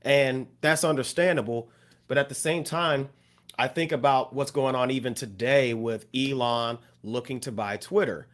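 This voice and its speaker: Male podcast co-host, mid pitch, reflective, loose delivery full of fillers. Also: moderate pace, a bit bright. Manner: thoughtful, many fillers and restarts. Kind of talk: casual discussion.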